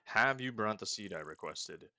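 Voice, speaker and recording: A man voicing a solemn, stately female forest spirit from a fantasy game, speaking slowly, gravely and formally. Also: lowish voice, lively intonation, over music. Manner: slow, grave, formal prophetic delivery.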